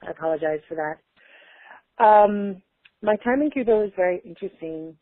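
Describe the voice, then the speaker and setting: A woman's voice: mid-range voice, moderate pace, dry recording. A woman from Chile speaking informally as a panelist on an online call. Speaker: panelist, consultant. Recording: narrowband phone-like online call audio.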